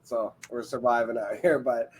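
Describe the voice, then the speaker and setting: A man's voice: medium pitch, steady tone, moderate pace, a little mellow, a little hiss or hum. A man from Canada with a Quebec accent, joking and self-deprecating as a guest on a casual podcast. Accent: Canadian French-English mix, Quebec. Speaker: guest analyst.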